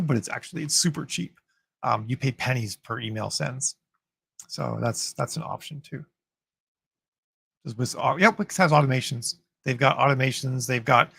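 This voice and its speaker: A man hosting a writers' livestream in an upbeat, chatty way, full of fillers and restarts, his voice getting raspy from long talking. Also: mid-range voice, fairly bright tone, dry recording. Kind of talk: casual livestream chat answering viewer comments.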